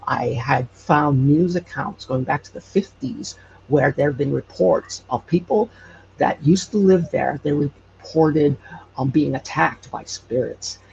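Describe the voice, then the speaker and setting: Hesitant, gravelly man on a muffled recording. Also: fairly high pitch, darkish tone. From Hawaii. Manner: hesitant, pausing often mid-phrase. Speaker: podcast guest, paranormal storyteller and investigator. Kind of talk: conversational personal storytelling in an interview. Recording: muffled lo-fi with faint background noise.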